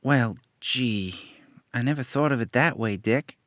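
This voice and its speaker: A man's voice: mid-range voice, unhurried, a bit bright, no room sound, studio quality, close to an everyday voice. A man voicing an earnest young boy sidekick in an old-time radio play, meek and polite.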